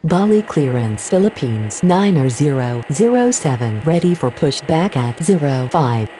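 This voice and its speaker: Woman acting as air traffic radio voice, low, very varied pitch, formal, clipped, game voice, faint noise. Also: very animated, unhurried, mellow, rough recording. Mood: businesslike. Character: female pilot and air traffic controller on radio. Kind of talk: procedural aviation radio phraseology.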